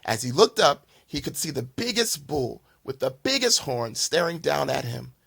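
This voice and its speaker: A man hosting a children's story time, narrating a storybook in a dramatic, expressive way. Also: on the high side, normal speed, vocal fry, husky.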